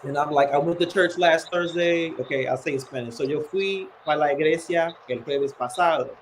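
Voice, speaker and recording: A man's voice: fairly high pitch, moderate pace, slight background noise. A man hosting a casual language lesson, explaining things patiently and with examples. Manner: explains patiently, switches to playful scolding. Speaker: host, language teacher.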